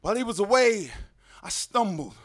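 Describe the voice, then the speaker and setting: A man with a strikingly high voice performs slam poetry. His delivery is rhythmic and dramatic, with emphatic phrasing throughout. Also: sing-song, moderate pace, fairly bright tone. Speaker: slam poet. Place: live poetry slam on stage.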